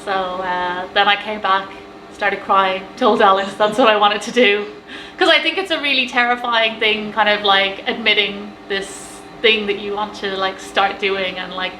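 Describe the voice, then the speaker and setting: A woman's voice: mid-range voice, fairly even intonation, moderate pace. A woman in her thirties with a Galway Irish accent, a café owner chatting casually in an interview with lots of fillers. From Ireland. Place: inside her small café. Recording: echoey café room with background noise.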